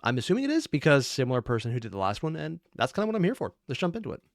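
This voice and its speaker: A man's voice: on the high side, big pitch swings, racing, vocal fry, faint music behind. A man giving casual, off-the-cuff commentary as a reaction host, analytical and prone to rambling asides and correcting himself.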